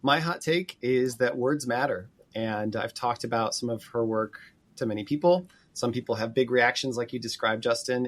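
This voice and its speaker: A man co-hosting a podcast, giving his opinion in a conversational commentary style. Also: medium pitch. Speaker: podcast co-host.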